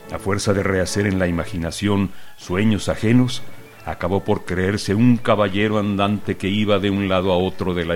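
A man narrating a short literary story in a calm, measured reading. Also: deep voice.

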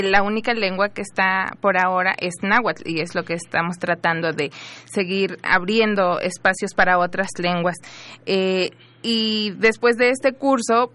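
Female radio guest from Hidalgo, bright and forceful tone, earnest delivery. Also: medium pitch. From Hidalgo, Mexico. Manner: earnest, laughs easily. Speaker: guest, human rights advocate. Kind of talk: radio interview.

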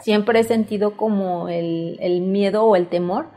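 A woman's voice: medium pitch, fairly even intonation, unhurried, mellow. Earnest woman in her fifties. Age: 50s. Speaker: interviewee. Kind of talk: vox pop answers.

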